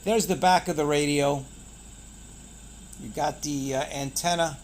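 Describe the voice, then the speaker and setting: A man's voice: mid-range voice, a little hiss or hum. A man hosting a casual product unboxing, pointing things out in short, clipped phrases. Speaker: product reviewer and channel host.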